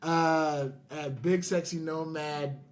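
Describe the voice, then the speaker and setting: A man's voice: on the high side, fairly even intonation, takes it slowly. A middle-aged man hosting a podcast in casual chat, joking and interrupting himself as he goes. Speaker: podcast host. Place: indoors, in person with co-hosts.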